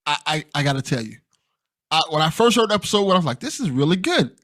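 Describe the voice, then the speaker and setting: A man hosting a podcast, chatting casually and excitedly with an interview guest, often repeating phrases as he goes. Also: a little high, fast talker. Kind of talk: casual enthusiastic chat.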